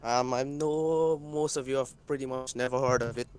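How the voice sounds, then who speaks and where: A man's voice: medium pitch, steady tone, normal speed. A man from Guyana, chatting casually as a guest on an online voice call. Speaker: guest caller in an online community chat. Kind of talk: casual chat. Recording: faint noise, online voice call.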